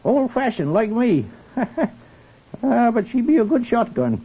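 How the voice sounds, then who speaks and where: An actor playing a garrulous, simple country handyman with a rural English accent and a husky voice, in an old radio drama. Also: extremely high pitch, very animated, unhurried, full character voice. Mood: chatty. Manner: rambling, chuckling, deferential. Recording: tinny, band-limited old radio recording.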